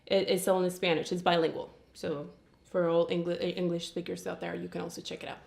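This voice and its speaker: A woman's voice: fairly low pitch, flat delivery, brisk, vocal fry, darkish tone, roomy sound. A woman from Colombia, a guest on a talk show, chatting candidly with many fillers and restarts and frequent giggles. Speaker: guest sex blogger. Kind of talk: casual talk show chat. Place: studio set at a table.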